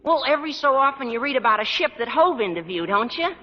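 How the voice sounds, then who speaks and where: A man playing an earnest American high school boy, squeaky and overblown, in a vintage radio sitcom. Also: sing-song, fast, a little hiss or hum. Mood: puzzled, eager. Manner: gushing, overblown, flustered. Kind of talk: 1940s-50s American radio sitcom acting. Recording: muffled, reverberant old radio recording.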